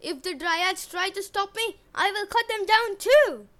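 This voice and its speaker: Woman doing a gruff, bellowing arrogant prince. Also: high-pitched, expressive, measured pace, sharp tone, full character voice. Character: arrogant boastful young male prince.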